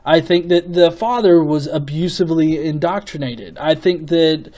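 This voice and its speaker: A man giving off-the-cuff opinion commentary as a video host, in a casual, sweary manner with halting, repeated words. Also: a little high, a little mellow. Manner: casual, profanity-laden.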